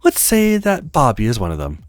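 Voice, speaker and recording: A man's voice: mid-range voice, huge pitch swings, normal speed, no echo, studio quality, soft background music. A man voicing a friendly, frank young female handywoman in a visual novel, breezy and reassuring. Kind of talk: acted visual novel read-aloud.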